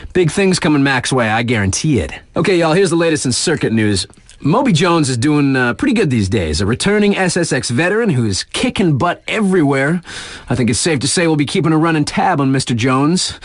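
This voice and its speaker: A cool, upbeat radio DJ for a snowboarding game. His voice sits a little high and swoops with lively inflection. He delivers news patter in chummy slang, hyping the riders, in a close, dry studio sound. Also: a little fast, no room sound. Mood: upbeat, hyped. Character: upbeat, cool male mountain radio DJ, laid-back hype.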